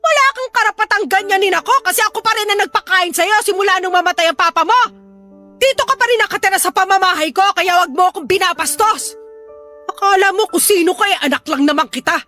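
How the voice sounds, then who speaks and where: A woman's voice: very high voice, fast talker, sharp tone, faint music behind. A woman playing a hot-tempered, nagging middle-aged Filipino mother in a melodramatic audio drama, with a scolding, exclamatory delivery and a Filipino accent. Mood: angry, scolding.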